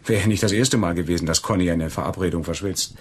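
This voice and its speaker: Deep, husky loyal friend. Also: male voice, fast talker. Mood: chatty, reassuring. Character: loyal, reassuring male friend of the family. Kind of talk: German radio crime drama acting.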